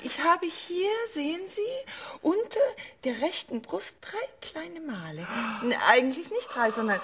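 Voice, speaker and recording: An actress playing a seductive, commanding queen in a radio play, coaxing and teasing with breathy asides. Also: high-pitched, very animated, measured pace, a bit bright, exaggerated voice. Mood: teasing, confiding. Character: seductive, capricious, commanding queen, flirting with a visitor. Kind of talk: German radio play acting.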